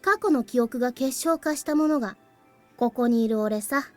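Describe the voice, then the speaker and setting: A woman plays a young boy from a visual novel, high in pitch. He is aloof and composed, speaking plainly with a faint cheek. Game music plays clearly behind him. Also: fast, natural voice. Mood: calm, matter-of-fact. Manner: cool, matter-of-fact, slightly cheeky. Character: calm, aloof young boy, crystallized past memory of the hero. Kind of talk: Japanese visual novel voice acting.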